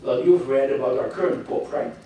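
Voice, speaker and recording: Older man, guest speaker, halting opinionated delivery, miked from afar in a big echoey hall. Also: mid-range voice, warm tone, distant mic, slight background noise. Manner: halting, many restarts, opinionated. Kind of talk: public talk with audience questions. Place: hall with audience.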